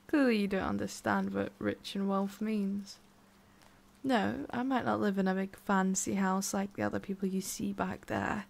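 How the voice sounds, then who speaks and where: Woman playing a creaky-voiced haughty tsundere witch. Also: mid-range voice. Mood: smug, boastful. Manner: soft, often whispery, breathy sighs, curt asides. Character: haughty, prickly tsundere witch with a hidden soft side. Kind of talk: ASMR roleplay.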